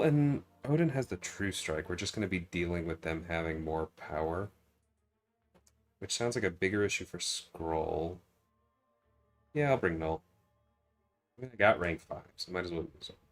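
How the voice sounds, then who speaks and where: A man with a very low-pitched voice talks over a game as a livestream host, with music playing behind him. He rambles casually, thinking out loud and addressing viewers as he goes. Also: lively intonation, normal speed, pauses often. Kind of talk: casual gaming livestream commentary.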